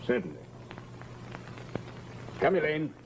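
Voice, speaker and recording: An actor playing a sinister, jealous stage mesmerist, pompous and stiffly formal, in an old radio horror drama. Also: male voice, fairly high pitch, very animated, slow pace, creaky, full character voice. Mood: menacing. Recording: noisy old radio recording.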